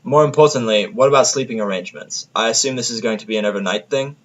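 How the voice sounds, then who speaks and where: A man's voice: medium pitch, fast talker, close to an everyday voice. A man voicing an irritable, sarcastic human man in a fantasy audio story, often bitter and blunt. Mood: dry, sarcastic.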